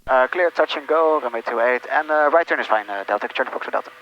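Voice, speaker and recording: A man piloting a small aircraft, giving casual, halting cockpit commentary and radio calls over a headset. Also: mid-range voice, lo-fi sound. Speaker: pilot narrating his own flight. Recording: noisy cockpit with engine hum.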